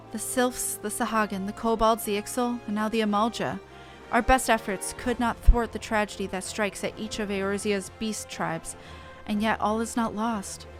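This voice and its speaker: A woman's voice: medium pitch, steady tone, faint music behind, natural voice. Woman reading as an earnest, enigmatic female ally. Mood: grave. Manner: measured, formal. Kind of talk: reading game dialogue aloud.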